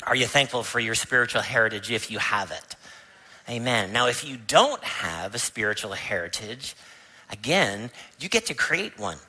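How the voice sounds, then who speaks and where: Man, pastor, bright voice, storytelling sermon, emphatic at times, church service. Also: mid-range voice, lively intonation, normal speed, slightly rough, poor audio. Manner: storytelling, rising to emphatic preaching. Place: church sanctuary.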